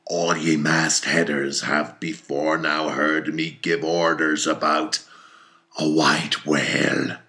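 A fierce old captain of a whaling ship. The voice is very low and hard-edged, declaiming in slow, obsessive bursts like orders across a deck in a sea story. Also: male voice, level tone, heavily acted. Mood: commanding. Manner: declamatory, slow emphatic shouting.

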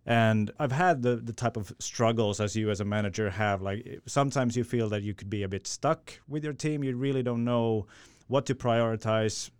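Smooth-voiced man. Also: medium pitch, normal speed, hardly any pauses, dry recording. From Finland. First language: Swedish. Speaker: sales director. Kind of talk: podcast interview answer.

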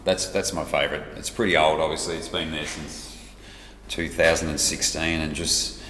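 A man's voice: a bass voice, little pitch movement, moderate pace, pushed and bright. A middle-aged Australian man chatting casually as a guest, in a rambling, jokey, self-deprecating way. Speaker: guest, whiskey brand ambassador. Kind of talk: casual chat. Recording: roomy reverberant space with faint noise.

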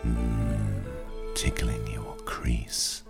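Seductive male palm reader, acted by a man, comic character piece, unhurried breathy murmur, rock-bottom pitch. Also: level tone, loud music behind the voice, heavily acted. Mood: seductive, intimate. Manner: intimate, breathy, suggestive murmur. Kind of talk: comic character voiceover demo.

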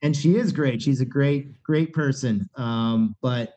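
A man's voice: mid-range voice, unhurried, mellow. A middle-aged man, a punk band singer talking as a podcast guest, rambling candidly with many fillers and restarts. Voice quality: nasal voice. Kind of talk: casual podcast conversation. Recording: dull lo-fi podcast recording.

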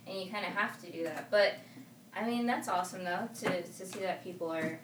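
A woman's voice: lowish voice, a little fast. A woman whose first language is Spanish, chatting casually as a singer being interviewed. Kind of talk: casual conversational chat. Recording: echoey, noisy room, distant mic.

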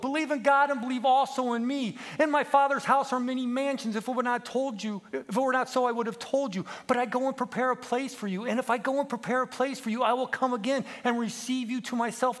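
A man voicing an earnest, emotional little lamb who pleads in a high, strained, preachy way, as in a children's story. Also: a bit bright. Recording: long echoing hall reverb.

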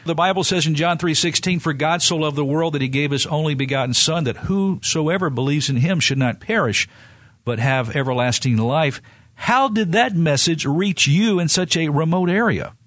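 A man's voice: fairly high pitch, normal speed, fairly bright tone, no echo. A man who hosts a radio program asks a guest questions in a friendly, leading way. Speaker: radio host. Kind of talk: radio interview.

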